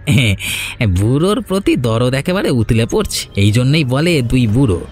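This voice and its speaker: A man's voice: mid-range voice, very animated, moderate pace, a little mellow, soft background music, natural voice. A man playing a sly, obsequious henchman of a village landlord in a cartoon, deferential and needling. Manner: deferential to his boss, needling. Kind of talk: Bengali cartoon voice acting.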